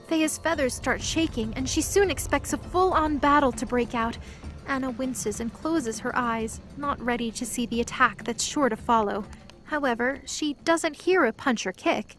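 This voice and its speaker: A woman with a fairly high voice narrates a scripted fantasy story. Faint music plays behind her narration.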